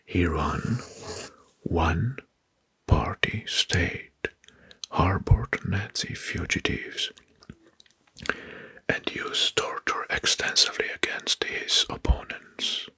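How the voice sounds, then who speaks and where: A man narrating facts as an ASMR host in a hushed, breathy whisper, deliberately repeating phrases. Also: low-pitched, animated. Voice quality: breathy, mostly unvoiced whisper.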